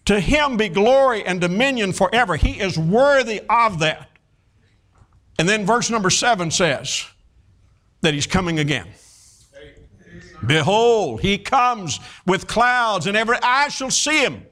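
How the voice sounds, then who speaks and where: A man in his fifties preaching a sermon, folksy and animated, telling stories with many fillers and restarts. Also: high voice, unhurried.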